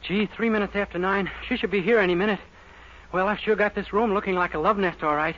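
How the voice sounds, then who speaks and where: An actor playing a naive, earnest young man in a 1940s radio sitcom, chattering quickly and innocently. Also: high-pitched, steady tone, soft background music, close to an everyday voice. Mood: eager. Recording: dull 1940s radio broadcast with loud hiss.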